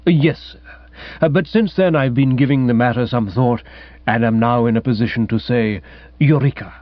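A man's voice: medium pitch, normal speed, dry recording, dull audio, natural voice. Man playing an unflappable, formal English manservant. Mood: composed. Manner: formal, composed, deferential. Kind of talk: comic audiobook character acting.

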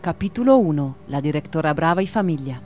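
A woman's voice: deep voice, animated, fairly quick, over music. A woman narrating a scripted audio story.

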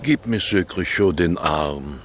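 Touchy gentleman, swooping intonation. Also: deep voice, animated, takes it slowly, a little hiss or hum. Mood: formal. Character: touchy provincial gentleman husband, stiffly polite. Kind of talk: German radio drama acting.

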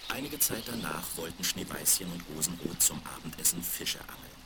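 A man's voice: low-pitched, animated, moderate pace, vocal fry. A man reading a scripted fairy tale for children as the narrator of a radio play. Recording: crackly, very noisy 1970s vinyl record.

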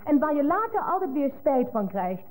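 A woman's voice: high voice, big pitch swings, normal speed, rough recording. An actress playing an amorous, coaxing German girlfriend with a German accent, cooing endearments and slipping German words into her Dutch in a radio play. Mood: plaintive.

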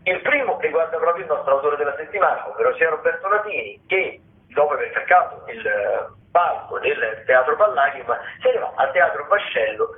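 A man hosting a radio arts show, talking through theatre listings in an informal, wordy, halting way. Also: on the high side, lively intonation. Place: radio broadcast. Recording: muffled, phone-like radio sound.

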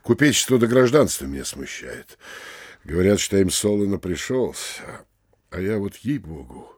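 A man's voice: low voice, sing-song, moderate pace, breathy edge, no echo, clean studio sound, heavily acted. A man playing an anxious, scheming middle-aged town mayor in a theatrical comedy audio play, hesitant, wheedling and exclamatory. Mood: wheedling, nervous.

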